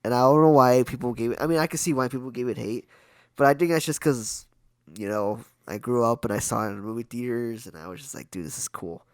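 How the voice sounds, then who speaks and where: A young man co-hosting a podcast, with a hoarse, raspy voice, chatting casually through a film review with quick asides. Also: mid-range voice, dry recording, clean studio sound. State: hoarse from illness. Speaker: podcast co-host.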